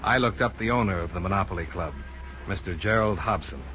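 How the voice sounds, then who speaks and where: An American actor playing a cool, businesslike insurance investigator in a 1950s radio drama, terse and matter-of-fact. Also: male voice, low voice, brisk, natural voice. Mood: grim, matter-of-fact. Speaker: radio drama lead and narrator. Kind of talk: 1950s hard-boiled radio acting and narration. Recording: muffled, noisy 1950s radio recording.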